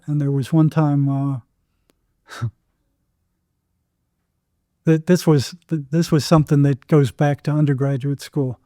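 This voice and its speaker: A man's voice: a little high, warm tone, dry recording, clean studio sound. An older American man telling anecdotes casually as an interview guest, acting out bits of dialogue as he goes.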